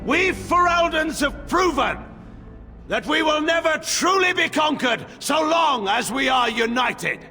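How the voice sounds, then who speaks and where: An actor playing a proud, bitter middle-aged general in a game cutscene, speaking with grandiose, commanding oratory. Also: male voice, very high-pitched, lively intonation, unhurried, exaggerated voice. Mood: rousing, defiant. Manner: oratorical, forceful, grandiose delivery. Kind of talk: dramatic game cutscene acting. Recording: long echoing hall, faint music behind.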